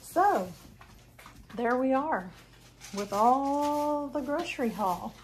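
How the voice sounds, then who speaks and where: A woman's voice: high voice, expressive, takes it slowly, rough recording. A woman in her fifties casually chatting through a grocery haul for her home vlog, rambling a little with ums and self-corrections. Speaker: vlogger. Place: home kitchen, indoors.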